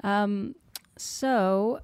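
A woman's voice: mid-range voice, drawn-out words, a little mellow, smooth, no echo. A woman co-hosting a podcast in a studio, chatty and eager as she asks the guest questions. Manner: chatty, eager interviewer who reads the show intro. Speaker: podcast co-host. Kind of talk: casual podcast chat.

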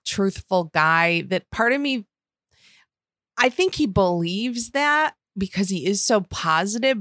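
A young adult woman with a Western New York accent co-hosting a podcast, animated and enthusiastic, swearing casually. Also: medium pitch, dry recording, studio quality. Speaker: podcast co-host. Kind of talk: casual recap chat.

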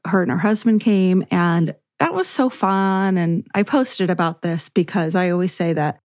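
A woman's voice: normal speed, no room sound. Chatty lady esthetician doing her podcast, laughs a lot, dead dry close mic. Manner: chatty, laughs often, lively pitch swings. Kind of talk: casual solo podcast chat.

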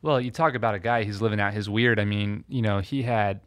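A man talking as a guest in a casual conversation, telling lively stories and acting out his own nervous reactions. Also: fairly low pitch, a little fast, clean tone, dry recording. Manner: animated storyteller, acts out his own nervous voice. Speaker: podcast guest, author.

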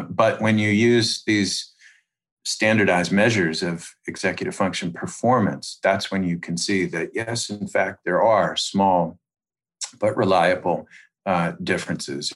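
A man speaking as an expert interview guest, explaining in precise, measured academic phrases with deliberate pauses. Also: deep voice, fairly bright tone.

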